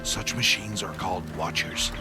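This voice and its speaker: An actor playing a stern, middle-aged outcast hunter who guides a child with terse commands in a video game cutscene. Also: male voice, deep voice, steady tone, moderate pace, natural voice. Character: stern gruff middle-aged male outcast hunter, protective mentor to a girl. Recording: loud music under the voice.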